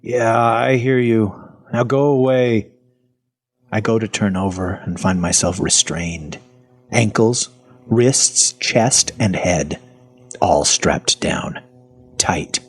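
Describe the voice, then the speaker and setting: An actor playing a weary, sardonic captive who narrates his own horror story in wry, deadpan, clipped phrases with a husky voice. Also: sits low, slow pace, faint music behind, heavily acted. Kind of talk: first-person audio fiction narration.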